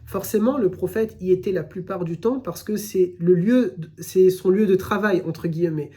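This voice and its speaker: A man giving a recorded religious lesson, explaining in a measured way and often repeating his phrases. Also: sits high, warm tone. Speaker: Islamic teacher.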